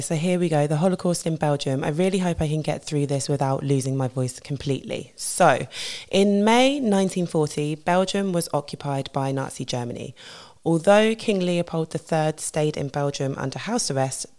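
A woman hosting a history podcast, talking casually with a husky voice, many fillers and quick self-corrections. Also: low-pitched, darkish tone. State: husky voice from a tiring weekend. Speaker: podcast host. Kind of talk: casual educational podcast talk.

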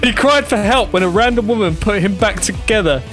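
A high-voiced man hosts a comedy podcast, reading a gossip segment aloud and laughing through it. Music plays clearly under his voice. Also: expressive, brisk. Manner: giggly, keeps laughing mid-sentence. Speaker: podcast host.

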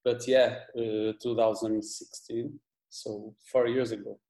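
Portuguese guy talking slow, kinda dark mellow voice, stops and restarts a lot, roomy echo. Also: medium pitch, little pitch movement. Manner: halting, many restarts and fillers. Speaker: video editor, guest. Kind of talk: casual interview chat.

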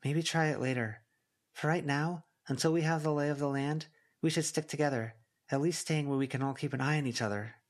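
Guy doing a earnest teen girl, voice nudged higher, gently firm and careful, story reading. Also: fairly even intonation, a little fast, lightly acted. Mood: careful, concerned.

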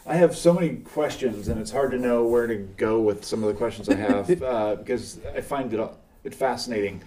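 A man's voice: mid-range voice, moderate pace, distant mic, a crowd in the background, low-quality recording. A man hosting an interview, asking long, thoughtful questions while searching for words. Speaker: interview host.

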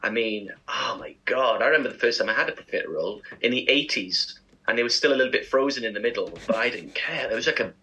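A man's voice: mid-range voice, fast talker, pushed and bright, a little hiss or hum. A man co-hosting a casual radio chat, chatty and enthusiastic. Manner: chatty, enthusiastic food talk. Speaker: radio show co-host.

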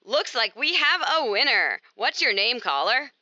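A woman's voice: on the high side, sing-song, a little slow, muffled recording, background noise. A woman playing a peppy, teasing radio contest host in an amateur audio drama.

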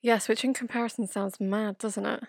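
A woman's voice: on the high side, fairly even intonation, moderate pace, creaky, no echo, studio quality. A young woman, a medical student, hosting an interview and asking earnest, polite questions. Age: young adult. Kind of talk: podcast interview.

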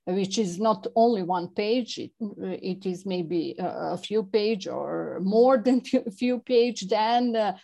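Halting Serbian woman, slow and mellow. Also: medium pitch, creaky. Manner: halting, many fillers and restarts. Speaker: interview guest, chapter representative. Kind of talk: non-native conversational English interview.

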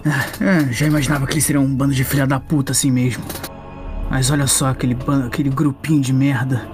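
Spiteful camper villain, as a man does him, monotone sneer over music. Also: medium pitch, fast talker, close to an everyday voice. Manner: sneering, scornful. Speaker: player.

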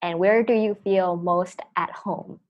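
A woman's voice: on the low side, fairly even intonation, a little slow, muffled recording. A woman in her twenties hosting a podcast, chatting casually and explaining at length. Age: 20s. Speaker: podcast host.

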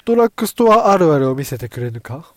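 A man acts out a haughty foreign nobleman in a comic radio play. His speech is grand and old-fashioned, swinging widely in pitch with an air of menace. There is a faint noise on the recording. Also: sing-song, fast talker. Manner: pompous, archaic lordly phrasing.

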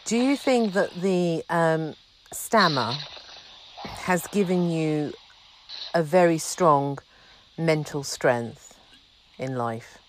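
A woman hosting a podcast speaks at a very slow, drawn-out pace, with emphatic and warm encouragement. Her voice sits a little low, with faint noise behind it. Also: lively intonation, rough recording. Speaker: podcast host. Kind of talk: interview conversation.

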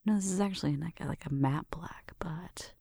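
Breathy, whispery flirty roommate played by a woman. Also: sits low, normal speed, no room sound, clean studio sound, full character voice. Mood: gentle, focused. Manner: soft whispering mixed with murmured talk, shy and teasing. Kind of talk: ASMR roleplay, intimate one-sided conversation.

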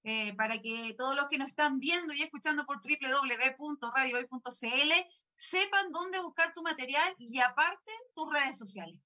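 A woman's voice: high voice, moderate pace, hardly any pauses, sharp tone. A woman hosting a radio interview, asking friendly, leading questions.

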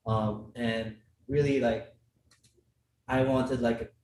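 A man's voice: medium pitch, fairly even intonation, slow pace, a little mellow. Young Filipino man, podcast guest, easygoing, lots of fillers; roomy, distant mic, faint hiss. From Philippines. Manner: chatty, many fillers and restarts, laughs often. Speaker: guest, business school student. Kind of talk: casual podcast conversation.

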